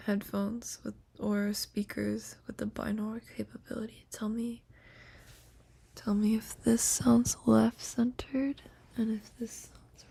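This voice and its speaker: Young woman, soft slow whisper, gravelly, mellow, laptop mic. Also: fairly high pitch. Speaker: streamer. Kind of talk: whispered sleep stream with soft singing. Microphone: laptop microphone. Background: guitar.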